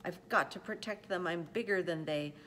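A woman voicing a big, gentle, protective male elephant from a storybook, speaking earnestly and pleadingly. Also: lowish voice, normal speed, a little hiss or hum, close to an everyday voice. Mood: urgent, protective.

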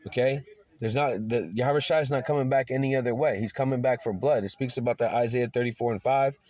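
Man preaching, rambling with fillers, rhetorical questions, halting reading, dry close-up audio. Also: medium pitch, steady tone, a little mellow, no echo. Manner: reads scripture and articles aloud haltingly. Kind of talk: screen-recorded Bible teaching.

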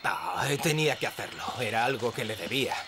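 An actor playing a brave, remorseful farmer in a dubbed cartoon, speaking earnestly. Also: male voice, medium pitch, expressive, brisk, vocal fry, noisy background. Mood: humble. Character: brave, remorseful male farmer turned poacher's helper.

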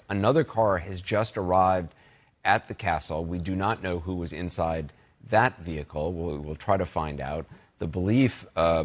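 A man anchoring live breaking news in a steady, authoritative way. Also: sits low.

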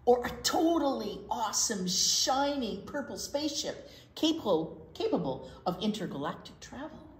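An older American woman with a fairly high, very animated voice tells a story to young children. She explains things simply and expressively, in a roomy, echoey indoor space. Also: normal speed. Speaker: children's storytime reader at a library. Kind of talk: read-aloud picture book storytelling. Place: library children's room, indoors.

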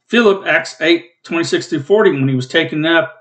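A man in his late fifties with a rough, coughing throat, giving a rambling, plainspoken Bible study from home over a webcam. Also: on the high side, brisk, fairly bright tone. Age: 50s. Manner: rambling, plainspoken, self-corrects. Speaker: Bible teacher and channel host. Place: small room at home, indoors.

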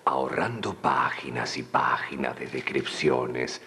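A man's voice: very deep voice, lively intonation, takes it slowly, bright, forceful tone. A middle-aged Argentine man reading scripted, dramatic narration into a studio microphone.

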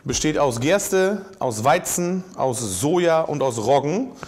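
A man's voice: on the high side, animated, slow, a little mellow. A man gives an explanatory voice-over. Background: faint music.

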